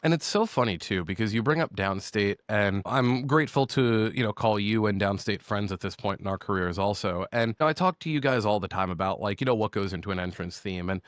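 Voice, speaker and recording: Deep-voiced guy hosting a podcast interview, talks a lot and gets fired up, dry close mic. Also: fairly quick, hardly any pauses, no room sound. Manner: enthusiastic, talkative. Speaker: podcast host. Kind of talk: casual interview chat.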